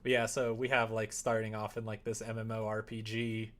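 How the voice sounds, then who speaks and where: A man's voice: fairly low pitch, flat delivery, brisk, fairly bright tone. A man chatting casually on a livestream while he works, thinking aloud in a rambling, hesitant way. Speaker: livestreamer making a visual novel. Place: indoors at home.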